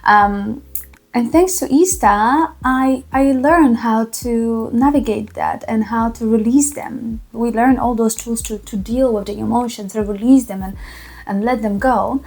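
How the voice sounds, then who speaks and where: A woman with a Polish accent giving a reflective personal testimonial to camera, speaking earnestly with thoughtful, halting pauses. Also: a little high, darkish tone. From small town in Poland. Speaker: vlogger.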